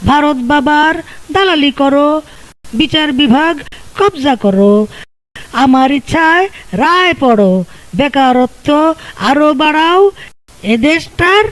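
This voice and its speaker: A woman's voice: high voice, full character voice, rough recording. A woman voicing a corrupt female cartoon ruler who chants a list of bossy orders in rhythm.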